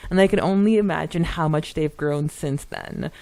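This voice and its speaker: A woman with a fairly low voice co-hosts a podcast in a casual, conversational way. She sounds close to the mic in a dry space, moving between reading comments aloud and chatting about them. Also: moderate pace, creaky, a little mellow, no echo. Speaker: podcast co-host.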